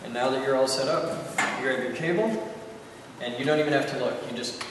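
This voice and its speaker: A man's voice: mid-range voice, brisk. Man demonstrating gear in a very echoey room. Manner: informal, joking, step-by-step. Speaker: instructor, video host. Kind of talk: casual hands-on demonstration. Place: indoor room. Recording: very echoey room, distant mic, noisy.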